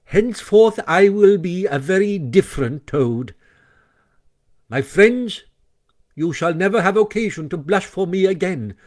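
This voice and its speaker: A pompous, self-pitying toad from a storybook, as a man puts him on, lifting his voice high above the narration. He swings from piteous pleading to grand, drawn-out declarations. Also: lively intonation, a little mellow. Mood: grandiose lament. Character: boastful vain male toad, theatrical, self-pitying.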